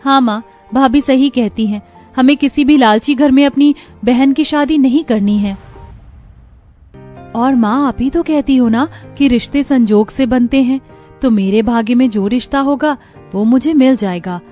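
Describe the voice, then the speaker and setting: A woman's voice: high-pitched, a little slow, warm tone, soft background music. A woman voicing a sensible young sister. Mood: reassuring. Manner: reassuring.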